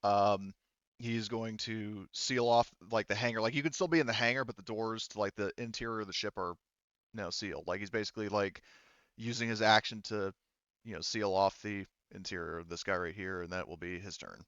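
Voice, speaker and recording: A man's voice: lowish voice, brisk, fairly bright tone, clean tone, no echo, muffled recording. A man acting as game master, narrating and refereeing a tabletop roleplaying game in an informal, hesitant, think-aloud way.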